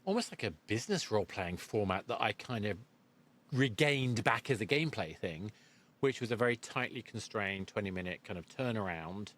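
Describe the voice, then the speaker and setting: Male podcast host, lowish voice, conversational, feels his way into each question. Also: expressive, moderate pace, a bit bright. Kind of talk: conversational interviewing.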